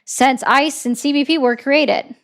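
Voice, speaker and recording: A woman's voice: high voice, measured pace. A woman hosting a livestream call-in show, debating callers with pointed, sarcastic, repeated questions. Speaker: livestream host taking callers.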